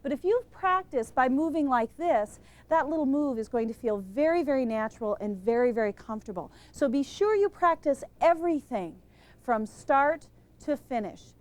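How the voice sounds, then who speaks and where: A woman giving an energetic lesson on public speaking to a live group, emphatic and quizzing the room. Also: high voice, moderate pace. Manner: energetic, emphatic, asks questions of the audience. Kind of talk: instructional talk to a live group.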